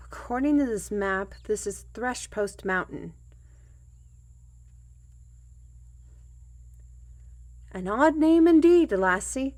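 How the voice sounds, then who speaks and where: A woman's voice: mid-range voice, darkish tone, close to an everyday voice. A woman playing a humble, plain-spoken fantasy trail guide and storyteller in an audio roleplay, with folksy, old-fashioned and hesitant phrasing. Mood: musing. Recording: music bed behind the voice.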